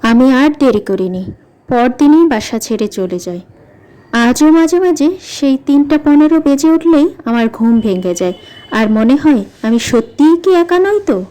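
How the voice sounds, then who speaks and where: A woman's voice: high voice, animated, normal speed, mellow, rough recording. A woman narrating a scripted first-person horror story in a dramatic, suspenseful way. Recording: music behind the voice. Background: background music.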